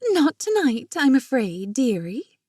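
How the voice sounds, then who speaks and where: A woman's voice: sits high, sing-song, a little slow, darkish tone, no room sound, studio quality, voice pushed up, full character voice. A woman voicing a playful young woman who puts on a mock-haughty, posh British accent in an audiobook.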